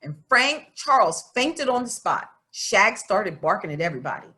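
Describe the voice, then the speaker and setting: A woman plays an earnest, stubborn farm girl of about twelve telling her own storybook tale. The voice is bright and very animated in pitch. The telling is plain-spoken, folksy and confiding. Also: normal speed. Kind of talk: children's storybook narration.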